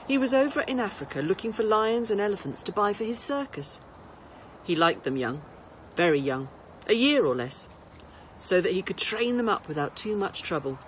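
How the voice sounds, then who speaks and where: A woman's voice: mid-range voice, big pitch swings, normal speed. Woman reading a story, noisy recording. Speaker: teacher reading to pupils. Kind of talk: expressive storybook narration.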